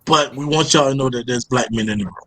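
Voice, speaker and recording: A man's voice: medium pitch, fast, fairly bright tone. Laid-back, slangy male podcast host. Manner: laid-back, slangy, repeats words as he starts sentences. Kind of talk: casual podcast conversation.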